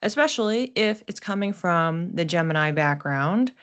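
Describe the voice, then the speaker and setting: A woman with a low-pitched voice talks through an astrological forecast as its host. Her delivery is conversational and explanatory, and she slips into quoting imagined reactions as she goes. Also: expressive, normal speed, fairly bright tone, clean tone. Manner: casual, explanatory, acts out imagined thoughts.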